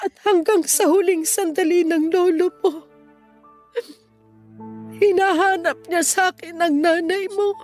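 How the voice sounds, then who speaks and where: A frail, forgiving grandmother in a radio drama. She speaks slowly in a high voice, tender and sorrowful, with music underneath. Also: steady tone, a little mellow. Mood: tearful longing. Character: sick, weary, tender grandmother near sixty, forgiving. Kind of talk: Tagalog radio drama acting.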